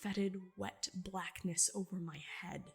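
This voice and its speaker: A proud young woman of the swamp played by an actress tells her own story in a literary audio drama monologue. Her voice is breathy and husky, and she speaks slowly with deliberate, hushed intensity. Music plays behind her. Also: on the low side, fairly even intonation, full character voice. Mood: hushed, entranced. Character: young woman, swamp-dwelling healer daughter, proud and defiant.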